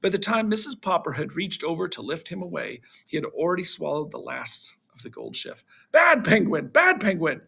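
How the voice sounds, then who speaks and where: A man narrates a children's storybook as a read-aloud for pupils. His pitch is a little high and swings widely, and his voice has a husky, creaky grain. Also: lively intonation, fairly quick, hardly any pauses, fairly bright tone. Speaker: story reader for schoolchildren.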